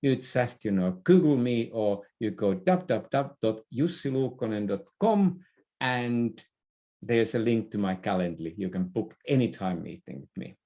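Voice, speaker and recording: A man's voice: on the low side, expressive, unhurried, warm tone. A middle-aged man gives advice in a conversational interview, often hesitating and repeating words. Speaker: podcast guest, business expert.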